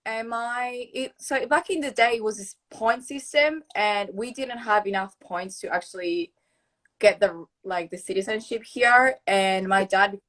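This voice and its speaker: A woman's voice: mid-range voice, a little slow, fairly bright tone. A young woman from Argentina with a mixed Argentinian accent, a native Spanish speaker, chatting as a guest on a live video call and laughing often. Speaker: guest influencer.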